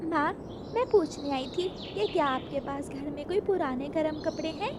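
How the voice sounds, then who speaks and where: A woman voicing a gentle, modest mother bird in a children's cartoon, speaking softly and earnestly. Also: high voice, normal speed, background noise, close to an everyday voice. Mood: hopeful.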